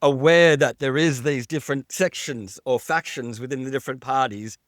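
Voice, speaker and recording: A man's voice: mid-range voice, moderate pace, a bit bright, dry recording, clean studio sound. A man with a non-American accent co-hosting a podcast, asking probing questions and repeating himself as he builds a point. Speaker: podcast co-host.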